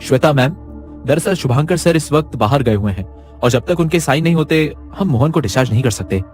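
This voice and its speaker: A man's voice: medium pitch, lively intonation, a little fast, a little mellow, soft background music, voice pushed up, natural voice. A man voicing a nervous hospital doctor who stammers, evades questions and politely placates. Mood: evasive, flustered. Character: nervous, evasive male hospital doctor hiding something.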